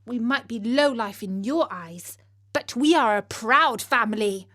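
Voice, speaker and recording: A woman's voice: on the high side, lively intonation, a little slow, a bit bright. A woman voicing a proud, headstrong young beggar maid in a storybook tale, blunt and scolding. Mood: defiant. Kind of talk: storybook character voice.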